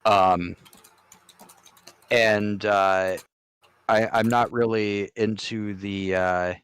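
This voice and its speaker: A man's voice: fairly low pitch, steady tone, extremely slow, a bit bright, clear, resonant tone. A man co-hosting a casual podcast chat, hesitant and filling with "like". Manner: hesitant, lots of "like". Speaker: podcast co-host.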